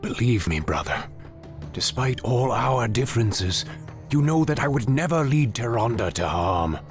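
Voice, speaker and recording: A man's voice: mid-range voice, very animated, measured pace, faint music behind. A man voicing a proud, brooding outcast warrior in a solemn, dramatic way for a video game. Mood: earnest, persuasive. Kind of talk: video game cutscene voice acting.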